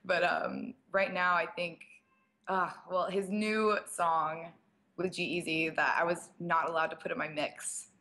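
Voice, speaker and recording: A young woman with a slight rasp, answering fan questions in a loose, chatty way. She fills her talk with "like" and small laughs, and sits a touch lower in pitch than most women. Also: flat delivery, measured pace, sharp tone. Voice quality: slightly raspy. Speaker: DJ and producer. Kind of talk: casual live-stream Q&A, reading viewer questions.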